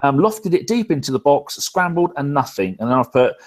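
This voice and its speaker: A man's voice: a little high, sing-song, fairly quick. A middle-aged man hosts a casual football show from a room at home. He rambles through jokey banter and swears freely. He is heard over a video call. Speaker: podcast host.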